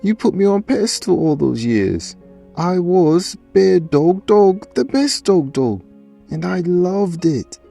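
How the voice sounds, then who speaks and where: A man's voice: high voice, sing-song, takes it slowly, creaky, mellow, soft background music, exaggerated voice. A man playing an affectionate, sentimental pet dog in a radio play, with an effusive, theatrical delivery. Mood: proud, adoring. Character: devoted pet dog, affectionate, dramatic, sentimental male companion.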